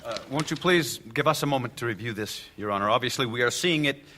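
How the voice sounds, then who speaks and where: A man's voice: mid-range voice, quick pace, sharp tone, slight background noise, natural voice. An actor playing a male newspaper lawyer who argues formally, with small hesitations, in an audio drama. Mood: persuasive. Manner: formal courtroom argument with hesitations.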